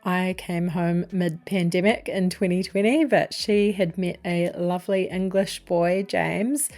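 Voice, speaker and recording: A woman's voice: fairly low pitch, fairly even intonation, measured pace, a little mellow, faint music behind. A woman with a New Zealand accent, hosting a podcast in a friendly, chatty interview style. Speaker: podcast host, wedding photographer.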